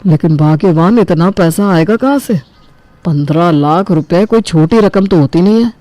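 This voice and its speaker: A woman's voice: on the low side, moderate pace, dark tone, no echo, lightly acted. A woman voicing a principled, sentimental middle-aged shopkeeper, the eldest brother, speaking earnestly and firmly in a cartoon family story. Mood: troubled, earnest. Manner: earnest, firm. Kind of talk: acted dialogue in a Hindi cartoon family story.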